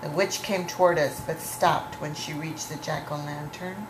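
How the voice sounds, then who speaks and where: A woman reading a children's story aloud with deliberate, dramatic phrasing. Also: deep voice, fairly even intonation. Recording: echoey room with clear background noise.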